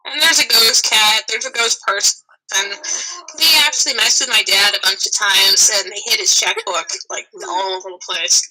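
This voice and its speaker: A woman's voice: mid-range voice, steady tone, normal speed, pushed and bright, low-quality recording. A woman chatting casually as a participant on a podcast. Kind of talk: casual chat.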